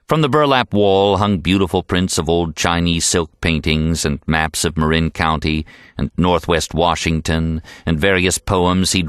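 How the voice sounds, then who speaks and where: Low-pitched American man narrating an audiobook. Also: a bit bright, dry recording, clean studio sound. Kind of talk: scripted literary narration, reading a novel aloud.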